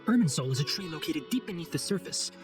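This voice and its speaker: A man performs an earnest young forest ranger in a game cutscene. The voice sits fairly high for a male and moves quickly, with formal, articulate phrasing and a composed, scholarly manner. Music plays behind it. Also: animated, close to an everyday voice. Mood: earnest, grave. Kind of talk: video game cutscene voice acting.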